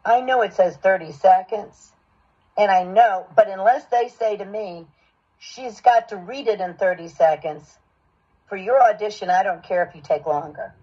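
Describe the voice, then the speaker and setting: A dull, lo-fi recording of a woman with a husky voice at a middle pitch. She is a voice acting coach, giving feedback and direction in an encouraging, explanatory manner. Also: normal speed, mellow. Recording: dull, lo-fi sound.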